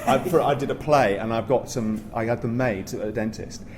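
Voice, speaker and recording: Man, anecdotal panellist, stop-start phrasing, casual, noisy live recording. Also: medium pitch. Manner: halting, self-interrupting. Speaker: panellist, pantomime performer. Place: theatre, live panel discussion. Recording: live recording, clear background noise.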